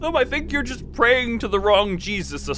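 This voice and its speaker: A man's voice: very high-pitched, lively intonation, moderate pace, background noise. A man chatting casually on a livestream as host, exclamatory and playfully exasperated. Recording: music behind the voice. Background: loud background music.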